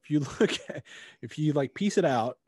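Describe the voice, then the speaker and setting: A man's voice: a little high, expressive, normal speed, darkish tone, slightly rough, no echo. Dry, close-miked middle-aged man chatting. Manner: blunt, sweary. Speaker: podcast co-host. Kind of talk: casual book review chat. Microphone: close podcast microphone with headphones.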